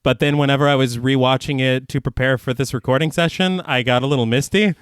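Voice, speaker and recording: A man co-hosting a horror podcast in casual film talk, getting over the flu, relaxed and often swearing and laughing. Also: medium pitch, fast talker, smooth, no echo, studio quality. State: recovering from flu. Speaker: podcast co-host.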